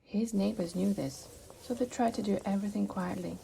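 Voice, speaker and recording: Children's book narrator, woman, soft mellow tone with some fry, theatrical emphasis, slight background noise. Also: medium pitch, low-quality recording. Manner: dramatic emphasis and pauses. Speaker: storybook reader. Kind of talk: expressive children's read-aloud narration.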